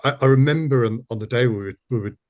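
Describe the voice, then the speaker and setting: A man interviewing as a producer, in a relaxed conversational style with hesitant, searching phrasing. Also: mid-range voice, quick pace.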